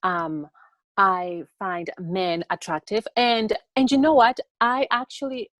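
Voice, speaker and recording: A young woman from Tanzania answering interview questions on a video call in an earnest, halting way that often repeats words. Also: mid-range voice, expressive, a bit bright, no room sound. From born in Tanzania. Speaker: interview guest, TikTok educator.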